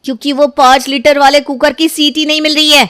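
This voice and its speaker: A woman's voice: high-pitched, level tone, fairly quick, a bit bright, dry recording, clean studio sound, pitched above the performer's own voice. Woman voicing a defiant careless young wife. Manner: defensive, argumentative. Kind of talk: animated story dub.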